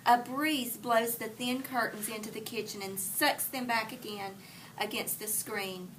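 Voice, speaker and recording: High-voiced woman teacher, distant, faint noise. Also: fairly even intonation, a little slow. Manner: prompting with questions, repeats children's answers. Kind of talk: interactive read-aloud to children. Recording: distant mic in a noisy room.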